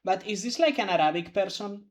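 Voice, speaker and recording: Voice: mid-range voice, animated, a little fast. A young adult law student chatting casually, rambling and hedging with lots of fillers. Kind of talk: casual chat among players.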